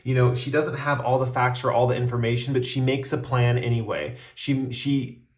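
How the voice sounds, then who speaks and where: A man's voice: medium pitch, roomy sound. Easygoing man reading tarot, losing his voice. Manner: relaxed, rambling, frequent ums, slows to announce cards. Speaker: tarot reader. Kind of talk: casual tarot reading to camera.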